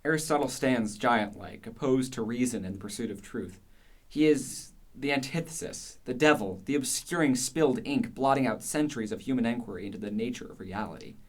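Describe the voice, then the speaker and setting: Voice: medium pitch, fairly quick, close to an everyday voice. An actor playing a passionate, contrarian older philosopher in a radio play, declamatory and emphatic. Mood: scornful, emphatic.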